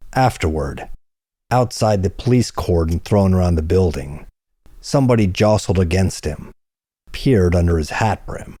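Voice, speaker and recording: A man reading hardboiled crime fiction as an audiobook narrator, in a measured, deliberate storytelling manner. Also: deep voice, dark tone, dry recording, clean studio sound.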